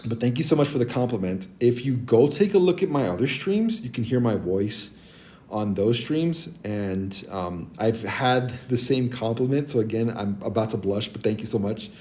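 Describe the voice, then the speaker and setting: A man in his forties with a naturally deep voice, chatting casually with stream viewers and giving friendly advice, with many ums and restarts. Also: lively intonation, normal speed, dark tone. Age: 40s. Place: abroad, streaming on a tablet. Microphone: tablet mic.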